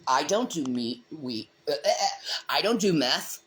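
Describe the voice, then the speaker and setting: A woman's voice: deep voice, animated, moderate pace. A woman co-hosting a casual livestream chat, talking bluntly and defiantly, her voice a little strained from not talking for a while. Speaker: streamer co-host.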